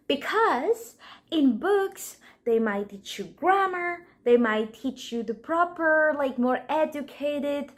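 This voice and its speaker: A woman with a Colombian accent leads a relaxed Spanish lesson, her first language, explaining everyday expressions in English at an unhurried pace. Her voice sits a little high, and she playfully acts out short example scenes for her viewers. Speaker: Spanish teacher. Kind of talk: casual language lesson to camera.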